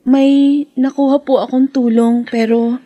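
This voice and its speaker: A woman's voice: high voice, level tone, measured pace, warm tone, no room sound. A woman with a Filipino accent playing a meek, devoted young daughter in a radio drama, hesitant, respectful and pleading. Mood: apologetic, sorrowful. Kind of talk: Philippine radio drama acting.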